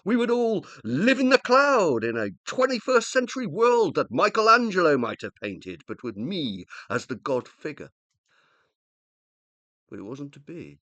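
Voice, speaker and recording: Needy, indignant uploaded-scientist husband, animated. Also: male voice, on the high side, a little slow, no room sound. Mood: wounded, wistful. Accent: British. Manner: pompous, plaintive. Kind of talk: radio play dialogue and monologue.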